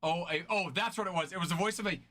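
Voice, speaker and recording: A man with a high, bright voice hosting a card-breaking stream. He banters casually and breaks into laughter often. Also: fast.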